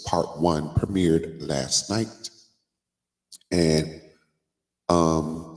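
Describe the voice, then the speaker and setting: Casting: deep-voiced man, stuffy with a cold, loose live-stream chatter, long reverb behind him. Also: darkish tone. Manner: chatty, drawn-out fillers like "like" and "um". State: congested from a cold. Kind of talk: casual live stream chat.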